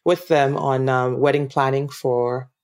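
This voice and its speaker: A Nigerian-accented woman from Nigeria, a guest actress chatting reflectively in an interview, laughing easily and pausing with ums. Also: rumbling low, flat delivery, a loud crowd. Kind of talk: casual interview chat.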